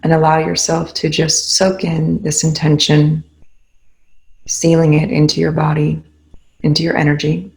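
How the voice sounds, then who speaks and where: Female meditation leader: calm and unhurried, very little pitch movement, noticeable vocal fry. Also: low-quality recording. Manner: calm, unhurried, gently instructive. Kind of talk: guided meditation, slow spoken instruction.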